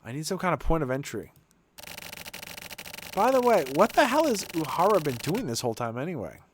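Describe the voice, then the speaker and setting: A man's voice: on the high side, big pitch swings, a little fast, a little hiss or hum. A man streaming a game, reading its text aloud in character with a theatrical, colloquial delivery. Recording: music playing behind the voice.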